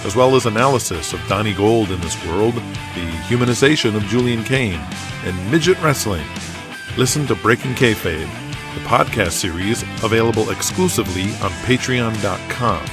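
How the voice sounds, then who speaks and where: A man's voice: fairly low pitch, expressive, normal speed. A man reading scripted narration for an audio drama. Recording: music bed behind voice. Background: music.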